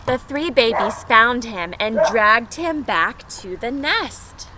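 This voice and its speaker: A woman with a fairly high voice reads and talks to young children at a slow pace. She keeps her phrasing simple and puts questions to them. There is clear noise behind her. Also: lively intonation. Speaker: teacher reading aloud to young children. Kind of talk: storybook read-aloud and chat for small children.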